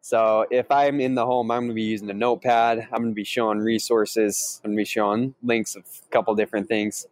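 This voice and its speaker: A man co-hosting a podcast, explaining his sales approach in casual chat, upbeat and energetic. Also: on the low side, a little fast, few pauses, no room sound. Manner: upbeat, energetic, laughs easily. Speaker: podcast co-host, sales trainer. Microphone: remote call, each on own mic.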